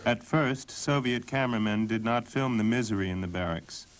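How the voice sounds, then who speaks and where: A man reading scripted documentary narration in a measured, sober way. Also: medium pitch, low-quality recording. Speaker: documentary narrator and voice-over translator.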